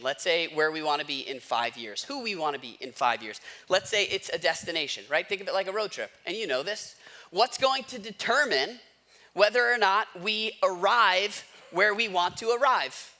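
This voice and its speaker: Guy pastor preaching casually, highish pitch, really animated, repeats stuff to drive it home. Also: moderate pace. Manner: emphatic, repeats key phrases, checks in with listeners. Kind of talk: conversational sermon with rhetorical questions.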